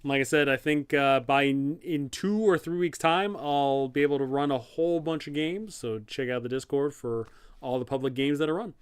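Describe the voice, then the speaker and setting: A man's voice: medium pitch, normal speed, smooth, no echo, clean studio sound. A man hosting a livestream, thinking aloud in short, trailing phrases as he works and chats casually. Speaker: livestream host, tabletop game master and content creator.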